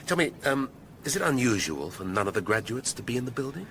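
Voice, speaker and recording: A man's voice: mid-range voice, very animated, quick pace, fairly bright tone, a little hiss or hum. An actor playing a brusque, sardonic senior police detective in a radio drama, impatient and commanding as he probes. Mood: probing, businesslike. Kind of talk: full-cast radio drama acting.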